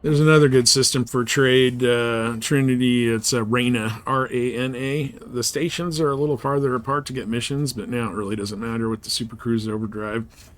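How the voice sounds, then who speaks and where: A man's voice: mid-range voice, steady tone, moderate pace. A man giving casual live game commentary, rambling and thinking aloud as he plays. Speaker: game streamer.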